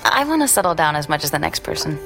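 A woman's voice: deep voice, very animated, fast talker, vocal fry, a bit bright, soft background music, exaggerated voice. An actress playing a flirtatious, teasing single woman in an audio drama, coy and a little evasive. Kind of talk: naturalistic audio drama dialogue.

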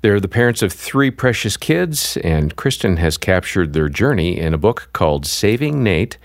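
Deep, dry-sounding radio co-host. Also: male voice, sing-song, moderate pace, no echo. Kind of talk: scripted show introduction.

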